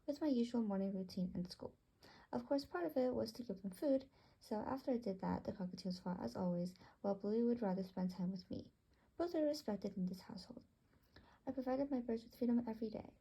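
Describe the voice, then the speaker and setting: A woman's voice: on the high side, fairly quick, creaky, dark tone, slightly rough, poor audio. A young woman reading her own story aloud in a narrating style.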